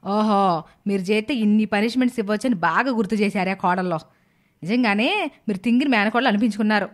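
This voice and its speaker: A stern middle-aged aunt , played by a woman, in a cartoon moral story. She scolds with measured, mock-sweet sarcasm and hands out threats like a boss of the house. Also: medium pitch, lightly acted. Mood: mocking, commanding.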